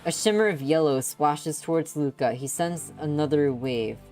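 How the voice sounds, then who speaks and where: Lower-voiced woman narrating over music. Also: moderate pace, darkish tone. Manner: dramatic, playful read-aloud delivery. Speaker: streamer reading visual novels aloud. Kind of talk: expressive live story narration. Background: background music.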